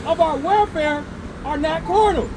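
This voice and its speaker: A man's voice: extremely high pitch, measured pace. A man reading scripture aloud outdoors at a street sermon, declaiming each line and repeating it on cue. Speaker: scripture reader at street preaching. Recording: clear street and traffic noise.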